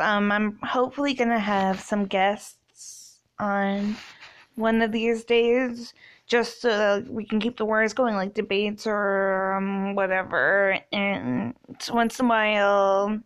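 A woman's voice: mid-range voice, clean tone. A woman in her twenties from Canada, hosting a casual solo podcast and rambling with many fillers. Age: 20s. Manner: rambling, many ums and likes. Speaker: podcast host.

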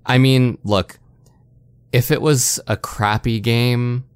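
A man's voice: medium pitch, fairly even intonation, slow pace, clean tone, dry recording. A man co-hosting a podcast, casually discussing video games and often checking in as he makes his points. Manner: conversational, punctuates points with rhetorical check-ins. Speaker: podcast host.

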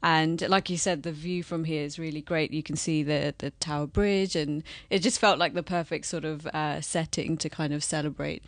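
A woman's voice: low voice, steady tone, moderate pace, few pauses, no echo. A woman from London with a British accent, chatting casually as an interview guest. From London, England. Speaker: podcast guest, business founder.

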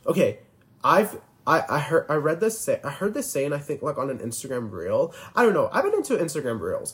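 A twenty-year-old man hosting a casual solo podcast, rambling and filler-heavy, constantly restarting his sentences. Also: on the high side. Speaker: podcast host.

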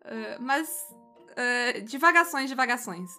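A woman's voice: on the high side, expressive, takes it slowly, sharp tone, soft background music. A Brazilian woman co-hosting a podcast in casual, bantering chat, telling stories in a playfully bossy way. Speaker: podcast co-host.

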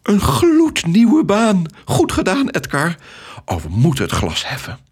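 A man voicing a kind, supportive, excitable woman friend in a children's story, with an encouraging manner. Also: high voice, a little slow, slightly rough, voice pushed up, full character voice. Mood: thrilled. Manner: encouraging, exuberant.

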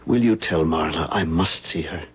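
An actor playing an earnest, devoted suitor, pleading ardently in old-time radio drama style. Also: male voice, rumbling low, normal speed, breathy edge, heavily acted. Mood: earnest, determined. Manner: ardent, insistent declarations. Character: earnest, devoted man pleading for his beloved. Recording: dull vintage radio broadcast recording.

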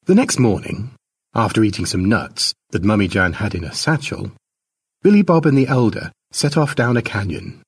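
A man reads a scripted story as its narrator. His voice is husky and gravelly, and his pitch moves up and down widely from phrase to phrase. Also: animated, moderate pace, distant mic. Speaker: author narrating own story.

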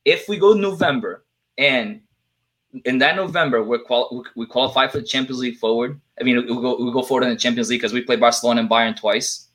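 A man with a non-native English accent chatting about sports on a podcast, excitable, swearing and restarting his sentences. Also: medium pitch, poor audio. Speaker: podcast panelist. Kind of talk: casual sports chat.

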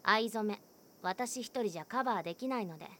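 A woman's voice: medium pitch, fairly quick, a little hiss or hum, lightly acted. A woman playing a frank, teasing schoolgirl. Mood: candid, teasing. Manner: candid, gently teasing. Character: frank, cheerful teenage schoolgirl. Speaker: student. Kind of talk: voice drama acting.

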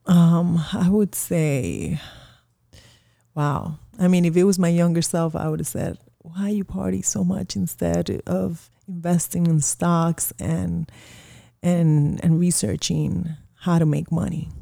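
A woman's voice: fairly low pitch, steady tone, slow pace, creaky, warm tone. A woman in her forties, a small business owner, chatting casually and rambling with lots of fillers in an interview. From Colombia.

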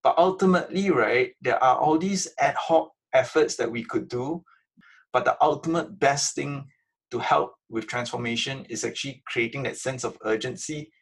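A man with a raspy, creaky voice answering interview questions in an informal, conversational way, often checking in with the listener. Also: medium pitch, normal speed. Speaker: interview guest, museum innovation director.

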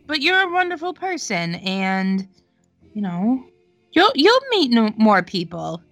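A young woman with a bright, higher voice co-hosts a radio show. She speaks slowly and sounds close and dry. She cheers her friend on and teases her playfully, with faint music in the background. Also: very animated, no echo. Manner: encouraging, playful teasing. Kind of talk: casual chat.

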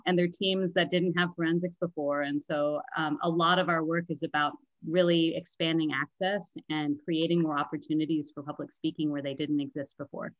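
A woman in her thirties from the San Francisco Bay Area speaks as a guest, clear and articulate, with easy laughter. Her voice sits fairly low. The recording is dry and a little muffled. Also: steady tone, brisk, hardly any pauses, no room sound. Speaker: nonprofit founder, guest. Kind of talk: interview answers. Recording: dry, dull lo-fi audio.

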